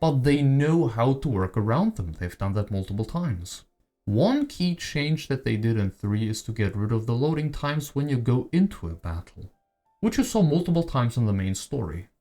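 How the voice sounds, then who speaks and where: A man's voice: mid-range voice, big pitch swings, normal speed, mellow. A man narrating a video essay on games as its creator, conversational and given to wry asides. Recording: voice-over with music behind. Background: background music.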